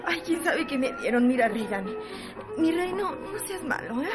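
A woman's voice: high-pitched, big pitch swings, moderate pace, over music. An actress playing a feverish, drugged young woman who pleads frantically and breathlessly in a radio drama. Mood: pleading.

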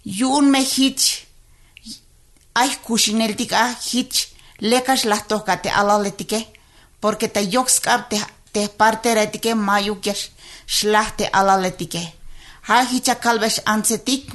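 A midwife from Tenejapa in Chiapas gives an interview statement, a woman talking very slowly and deliberately. She pauses often, leaning on fillers and slipping Spanish words into her speech. Her pitch sits in an ordinary middle range. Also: fairly even intonation, fairly bright tone. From Tenejapa, Chiapas, Mexico. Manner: slow and halting, mixing in Spanish words and fillers. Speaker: traditional midwife.

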